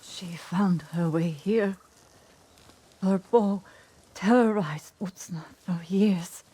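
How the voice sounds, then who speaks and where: An actress playing a solemn village woman telling of dark rumors in a video game drama. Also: mid-range voice, slow, slightly rough. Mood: solemn, wary.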